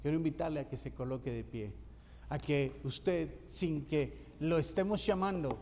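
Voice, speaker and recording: Pastor guy preaching, kinda high voice, long church echo, faint hum behind. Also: moderate pace, gravelly, dark tone. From Colombia. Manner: emphatic preaching, repeating key phrases for rhythm. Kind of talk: sermon. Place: church sanctuary.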